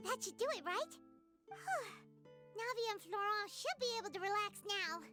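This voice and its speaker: A woman voicing a tiny, childlike, excitable companion creature in a video game, squeaky and chirpy. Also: moderate pace, soft background music, exaggerated voice. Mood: concerned, chatty.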